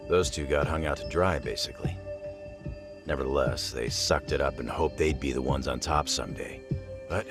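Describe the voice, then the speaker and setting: A man playing a blunt, cynical, streetwise underworld informant with a gravelly voice in an English game dub. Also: extremely low pitch. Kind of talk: video game cutscene dialogue. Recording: music behind the voice.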